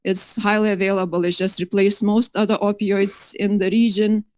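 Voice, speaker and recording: A woman's voice: medium pitch, little pitch movement, normal speed, a little mellow, no echo, clean studio sound. A middle-aged woman from Lithuania, giving an earnest academic conference talk with many fillers and restarts. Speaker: conference presenter. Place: conference hall.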